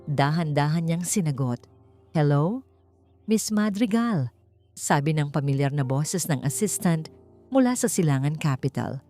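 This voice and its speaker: A woman narrating a romance audiobook in a slow, solemn storytelling manner. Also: low-pitched. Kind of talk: scripted dramatic narration. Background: soft background music.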